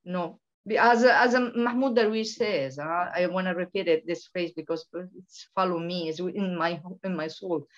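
Woman, from Venezuela, emphatic, halting, lo-fi dull recording. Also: lowish voice. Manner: halting, many restarts and repetitions, emphatic. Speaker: guest, political researcher. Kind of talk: impassioned interview talk. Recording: dull lo-fi sound.

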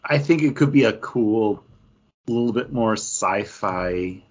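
A man's voice: lowish voice, lively intonation, takes it slowly. A man co-hosting a casual comic book review, hesitant as he thinks aloud. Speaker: co-host of a comics review show. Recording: dull lo-fi audio.

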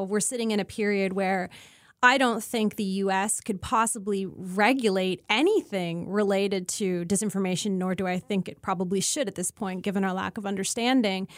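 A woman's voice: medium pitch, normal speed, no room sound. Middle-aged Canadian woman, dry close sound. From Ontario, Canada. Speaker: interview guest, researcher. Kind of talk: conversational interview, explanatory.

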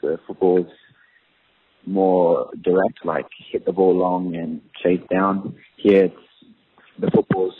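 A young man, a professional footballer, answering interview questions in a relaxed, hesitant way with many ums. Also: low voice, slow, slight background noise. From Australia. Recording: tinny phone-line audio.

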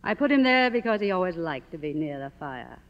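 An actress playing a middle-aged, faded silent film star in a 1950s radio drama, grandiose, bitter and theatrical. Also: female voice, on the low side, sing-song, a little fast, muffled recording. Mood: imperious. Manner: theatrical, imperious, declamatory.

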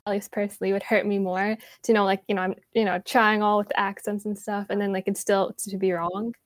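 A woman's voice: mid-range voice, little pitch movement, fast, no room sound, clean studio sound. A young woman in casual podcast chat, agreeable and asking questions.

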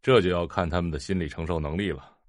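Man playing a cold, deep-voiced, scornful rich husband. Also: dry recording, studio quality, lightly acted. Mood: mocking. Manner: unhurried, disdainful, sneering. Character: cold, contemptuous, calculating rich husband and heir.

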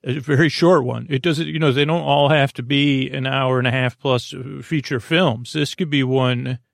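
Man, gravelly fry, dry close sound, meandering bedtime podcast host, halting false starts, wandering tangents. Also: mid-range voice, steady tone, no echo. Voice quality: gravelly, creaky. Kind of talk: rambling bedtime podcast monologue.

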